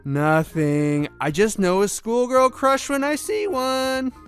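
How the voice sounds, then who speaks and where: A man's voice: very high voice, slow, fairly bright tone, soft background music, full character voice. A man playing a cocky young huntsman in a fan audio drama, flippant and forever teasing his teammates.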